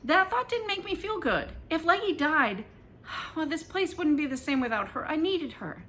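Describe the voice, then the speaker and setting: High-voiced woman reading a children's story. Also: normal speed. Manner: animated, dramatic, emphatic delivery. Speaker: author reading aloud. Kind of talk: expressive first-person children's story read-aloud.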